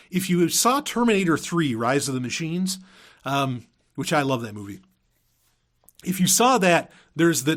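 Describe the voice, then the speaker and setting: A man with a fairly high-pitched voice hosts a podcast as an audio drama maker. He speaks in a casual, rambling monologue with halting starts. He often repeats words to drive his point home. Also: fairly bright tone.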